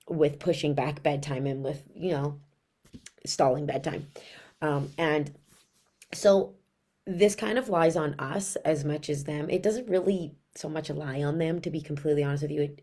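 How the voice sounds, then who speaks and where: A hoarse woman in her thirties who is losing her voice, a pediatric sleep consultant giving casual, chatty parenting tips to camera with a raspy sound. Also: low voice, mellow. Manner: chatty and frank, many asides.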